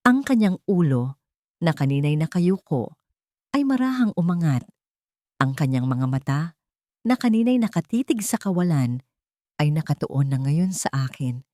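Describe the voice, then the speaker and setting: A woman with a Filipino accent narrating a dramatic first-person story in measured, solemn, deliberate phrases. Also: low-pitched, warm tone, no echo, studio quality. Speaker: audiobook narrator.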